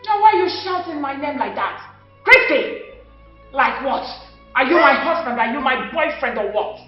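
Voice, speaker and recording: A woman's voice: sits high, lively intonation, moderate pace, soft background music. A middle-aged woman with a Nigerian accent playing a brazen, hard-hearted widowed mother who snaps back with defiant questions in a film drama. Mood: defiant, cold. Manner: defiant, retorts with repeated questions. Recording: echoey room, muffled film audio.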